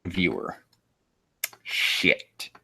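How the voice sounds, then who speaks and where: A man hosting a casual livestream, rambling and haltingly muttering to himself, with loose, crude language. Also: pushed and bright. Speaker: livestream host.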